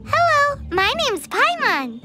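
Cheeky little game fairy: squeaky, childlike, extremely high and bouncy, over music. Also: female voice, huge pitch swings, slow, heavily acted. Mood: indignant. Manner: squeaky, childlike, speaks in third person. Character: tiny childlike floating fairy companion, cheeky and excitable.

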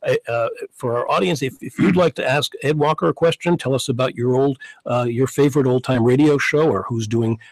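Man, middle-aged radio host, smooth broadcast delivery, close studio mic, dry sound. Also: medium pitch, a little fast, hardly any pauses, no echo, poor audio. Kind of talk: radio interview. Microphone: studio microphone, headphones.

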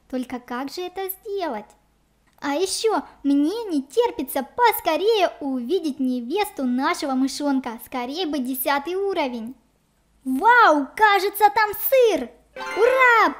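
A woman's voice: high-pitched, a bit bright. A woman co-hosting game commentary for children in a chatty, exclamatory way, talking to the viewers. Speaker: co-host of a children's let's play.

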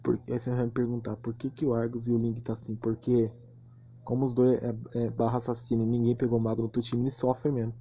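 A man's voice: medium pitch, monotone, fast talker, dark tone, husky. A Brazilian man giving casual, slangy commentary on gameplay. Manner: slangy, informal. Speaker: gaming channel host. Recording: muffled lo-fi voice recording.

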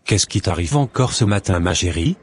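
A man playing a calm, questioning middle-aged husband in a cartoon, with casual delivery. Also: low voice, normal speed. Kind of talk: scripted cartoon dialogue. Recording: music under the voice.

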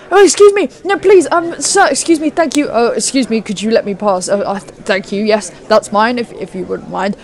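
A woman playing a flustered, polite British gentleman in his thirties in a radio play, stammering and apologetic. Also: fairly high pitch. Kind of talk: 1930s-set radio play acting.

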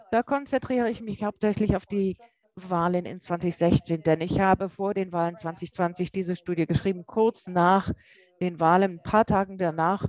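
A woman interpreting a lecture live, speaking haltingly and often correcting herself mid-word. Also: mid-range voice, a little mellow, low-quality recording. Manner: halting, with frequent self-corrections. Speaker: simultaneous interpreter.

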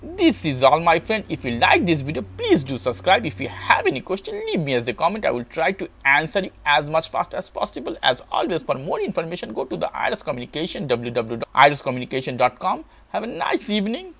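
A man's voice: fairly high pitch, huge pitch swings, fast talker, vocal fry, few pauses, a bit bright. A man hosting a step-by-step tech tutorial in short, simple phrases, with non-native English phrasing. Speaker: tutorial host.

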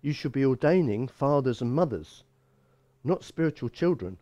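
A man's voice: mid-range voice, animated, normal speed, dark tone, dry recording, dull audio. A man in his sixties giving an expository Bible teaching sermon as a pastor, emphatic and given to repeating phrases. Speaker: bishop and pastor. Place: small church room, indoors.

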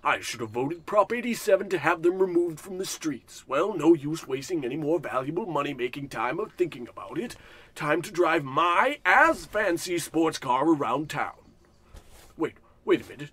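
A man's voice: a little high, lively intonation, moderate pace, fairly bright tone, slightly rough. A man playing a pompous, cantankerous, scornful rich businessman in a comedic old-time radio play. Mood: flustered. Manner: pompous, grumbling to himself.

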